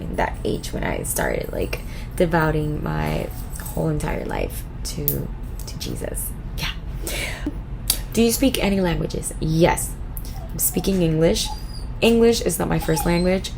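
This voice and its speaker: A woman, casually answering viewer questions in a vlog, chatty and relaxed with lots of fillers. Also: fairly low pitch, creaky, background noise. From Puerto Rico. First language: Spanish. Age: 20s. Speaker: vlogger.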